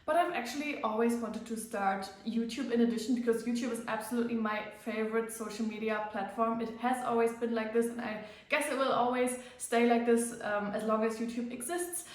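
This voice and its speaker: A woman's voice: fairly high pitch, fairly even intonation, fairly quick, few pauses. A woman with a German accent, a native German speaker, chatting casually to camera as a fitness vlogger, with a self-conscious, filler-filled manner. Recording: very echoey room.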